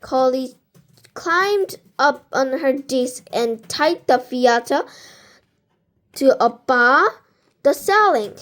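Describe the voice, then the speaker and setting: Woman w high voice reading a kids book out loud, slow n halting, trips on words. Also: animated. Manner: halting, with stumbles over words. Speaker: amateur book reader. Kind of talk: reading a children's storybook aloud.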